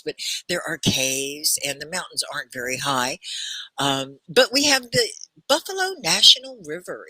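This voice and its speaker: Give me a cheerful American woman teaching English live, with a lowish, lively voice. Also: no echo, poor audio. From United States. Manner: cheerful, greets viewers by name, laughs often. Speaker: English teacher and livestream host. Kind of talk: livestream class chat. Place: home studio near Arkansas–Oklahoma border.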